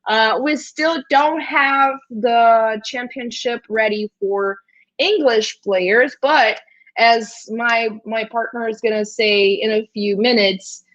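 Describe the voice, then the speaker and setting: A woman from Brazil whose first language is Brazilian Portuguese, hosting a livestream in a chatty, halting way with frequent hesitations. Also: fairly high pitch, a bit bright, lo-fi sound. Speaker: host.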